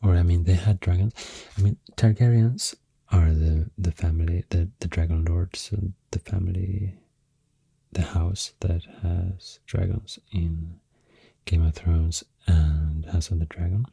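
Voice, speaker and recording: Deep, breathy Swedish-accented ASMR man. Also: fairly even intonation, no room sound. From Sweden. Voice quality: deep, soft, breathy, half-whispered. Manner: hesitant, slow, halting, self-correcting. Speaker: ASMR artist. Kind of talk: soft-spoken ASMR rambling. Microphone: close microphone.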